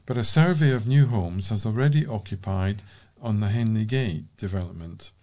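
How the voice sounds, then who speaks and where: A man reads local news stories aloud as a volunteer. His voice is soft-edged and mellow, pitched slightly on the low side. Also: normal speed. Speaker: volunteer newsreader.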